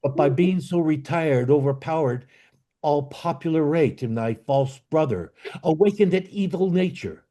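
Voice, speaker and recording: A man playing a bitter, commanding exiled duke and father in an amateur Shakespeare reading, with a declamatory, halting delivery. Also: medium pitch, dark tone. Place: online video call.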